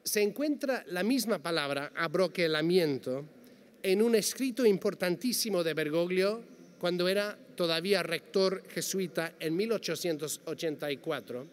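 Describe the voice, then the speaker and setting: A man giving a prepared, measured talk as a panel speaker, reading carefully and quoting passages, speaking Spanish as a to a conference audience. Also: high-pitched. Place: large conference hall. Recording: long hall reverb, faint background noise.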